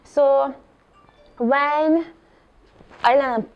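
A woman's voice: sits high, very slow, highs cut off. A young woman from Barbados with a Barbadian accent, speaking into a handheld mic as the guest at a live artist talk in a small gallery. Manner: animated, expressive storytelling.

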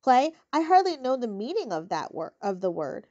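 Grumbling, crafty storybook rat, read by a woman; soft and muted, lo-fi sound. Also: on the high side, very animated, normal speed. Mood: sour. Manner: sour, grumbling. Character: sour, greedy, crafty male rat.

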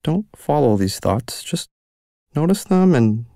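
A man leading a guided mindfulness meditation with slow, gentle and soothing instructions. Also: fairly high pitch, very animated, no echo, clean studio sound.

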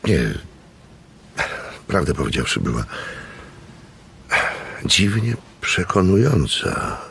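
An actor playing a thoughtful witness who narrates a radio drama in a dramatic scripted style. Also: male voice, sits low, wildly swooping pitch, slow pace, gravelly, a bit bright, slight background noise, exaggerated voice. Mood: thoughtful, doubting. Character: thoughtful male narrator, sceptical yet impressed witness.

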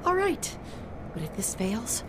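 An actress voicing a tough, defiant survivor in a video game, sardonic and confrontational. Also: female voice, sing-song, measured pace. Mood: skeptical threatening. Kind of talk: video game character dialogue. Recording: game audio with noisy ambient rumble.

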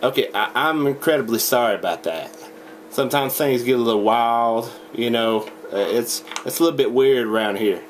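A young man making a deadpan prank phone call, halting, stammering and rambling as he talks in a home room. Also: medium pitch. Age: young adult. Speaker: prank caller. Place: small indoor home room. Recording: noisy webcam recording.

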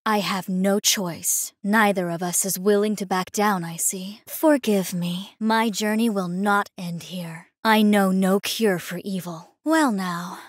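A woman voicing a kindly, resolute female healer in a fantasy video game, speaking in a measured, formal, theatrical way. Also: medium pitch, fairly even intonation, no echo, studio quality, close to an everyday voice. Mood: grave, determined. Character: kindly, resolute female apothecary healer, gentle but firm.

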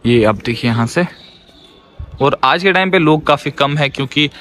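A man's voice: a little high, expressive, normal speed, fairly bright tone, a little hiss or hum. A young man narrating a casual travel vlog, guiding viewers around an outdoor railway station while filming on a handheld phone. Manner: chatty, guiding viewers step by step. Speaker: travel vlogger. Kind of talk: walking tour narration.

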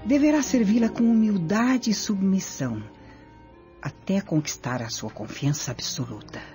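An actress playing a vengeful, scheming Roman noblewoman in a radio drama, speaking imperiously and deliberately. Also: mid-range voice, lively intonation, warm tone, soft background music, close to an everyday voice. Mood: commanding, calculating.

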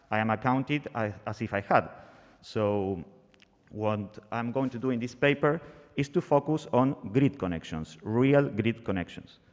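A young man speaks into a desk microphone at a conference, his voice a touch low. He presents his research in a halting, earnest way, often restarting his sentences. The sound carries the long reverb of a large hall. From Argentina. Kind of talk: academic talk. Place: conference hall, seated at a panel table, masked. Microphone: desk microphone on a stand.

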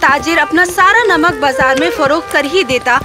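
A woman's voice: sits high, music in the background. A woman reading a scripted children's story as the narrator.

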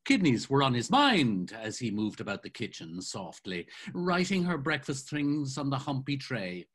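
Brief: older male narrator, lively pitch, slightly husky, expressive literary reading over webcam. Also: normal speed. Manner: savoring, expressive storytelling. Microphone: video call webcam.

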